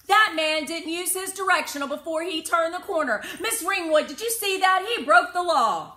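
A woman voicing an anxious young girl who insists on every rule, calling out in a shrill, urgent voice. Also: expressive, moderate pace, bright, forceful tone, heavily acted. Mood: insistent. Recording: roomy echo.

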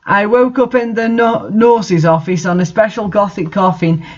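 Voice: mid-range voice, steady tone, moderate pace, muffled recording. A host reading fan fiction aloud and adding casual, incredulous commentary.

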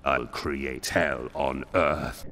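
An actor playing an arrogant fallen elder god, a haughty, menacing dark lord in a video game. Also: male voice, extremely low pitch, fairly even intonation, vocal fry, noisy background, exaggerated voice. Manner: slow, haughty, menacing pronouncements. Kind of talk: video game villain voice acting.

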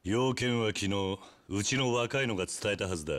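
An actor playing a calm, blunt yakuza lieutenant who is stern and unyielding, in a Japanese game cutscene. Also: male voice, on the low side, normal speed, close to an everyday voice. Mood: cold, stern. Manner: blunt, terse, composed.

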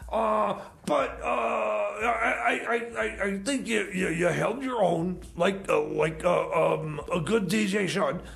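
A man playing a nervous, tactful sidekick in a comedy radio play, stammering and hesitant with a gravelly voice. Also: high voice, takes it slowly, few pauses, a bit bright, exaggerated voice. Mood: awkwardly placating. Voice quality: gravelly, creaky. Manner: stammering, hesitant, repeats words. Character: nervous, tactful sidekick reluctantly correcting his boss.

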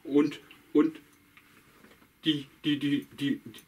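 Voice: medium pitch, little pitch movement, slow, a little mellow, husky. A puppeteer voicing the friendly, stammering companion of a dog in a puppet show. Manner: friendly, stammers, asks questions. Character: helpful, kindly friend of the dog.

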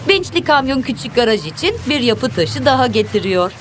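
Female children's cartoon narrator, highish voice, very animated intonation, expressive storytelling over music. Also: brisk. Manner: expressive, simple instructive phrasing for young children. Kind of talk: scripted children's story narration, addressing characters and viewers.

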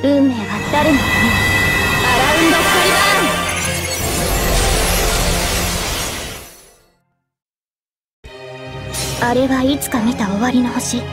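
A woman voicing a young, regal and gentle guardian spirit of a holy sword in an anime game, speaking politely and with measured poise. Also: high voice. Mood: solemn, heroic. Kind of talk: Japanese anime game voice acting. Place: studio. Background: faint background music.

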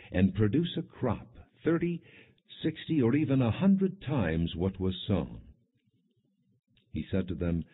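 Male scripture narrator: low, husky, solemn and measured; dull, band-limited audio. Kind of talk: scripted narration, non-dramatized scripture reading.

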